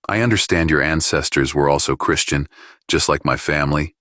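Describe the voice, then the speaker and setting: Awkward, sheepish male pilot, voiced by a man reading, low bass, dry audiobook dialogue. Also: a little fast, a bit bright, dry recording. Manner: hesitant, embarrassed.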